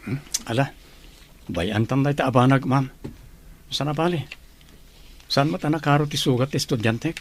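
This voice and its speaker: A man's voice: mid-range voice, normal speed, pauses often, lightly acted. A man with an Ilocano accent playing a patient, humble schoolteacher in an audio drama. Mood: concerned. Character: earnest, patient male schoolteacher, humble and hardworking. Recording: clearly noisy background.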